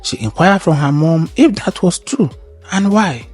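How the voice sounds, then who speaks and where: High-pitched, animated male storyteller. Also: a little slow, slightly rough, soft background music. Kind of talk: scripted folktale narration.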